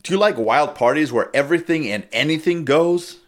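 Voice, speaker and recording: A man's voice: on the high side, fairly quick. A man hosting a talk show, giving a brash, teasing monologue. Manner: brash, teasing, lively delivery. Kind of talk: casual monologue.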